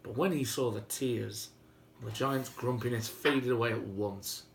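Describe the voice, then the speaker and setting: A man's voice: medium pitch, a little slow, a little hiss or hum. Man telling a fairy tale, theatrically. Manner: theatrical, droll, conversational. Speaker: storyteller. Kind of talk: animated oral retelling of a fairy tale with playful asides.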